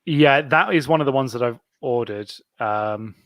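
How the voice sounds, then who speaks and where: A man's voice: medium pitch, moderate pace, no room sound. Guy hosting a book podcast, easygoing, laughs a lot, dry close mic. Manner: relaxed, wraps up and steers the talk, laughs often. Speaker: podcast host. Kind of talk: casual book club chat.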